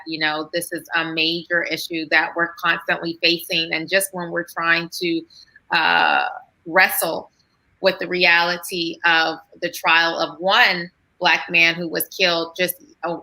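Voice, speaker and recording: A woman speaking earnestly as a guest on a video call, halting often with fillers. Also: lowish voice, pushed and bright, poor audio. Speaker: guest psychiatrist. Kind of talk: emotional video call talk.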